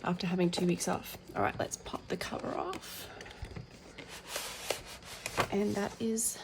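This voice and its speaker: A woman with a croaky, tired voice hosting her colouring channel, chatting casually with lots of ums as she reads out packaging and unboxes. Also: fairly low pitch, steady tone, a little slow, heavy background noise. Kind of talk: casual tabletop unboxing chat.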